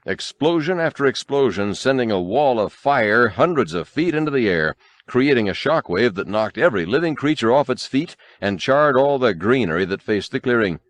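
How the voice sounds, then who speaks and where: Deepish guy reading an action story dramatically, dry close sound. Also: dry recording. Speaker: audiobook narrator. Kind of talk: dramatic scripted storytelling narration.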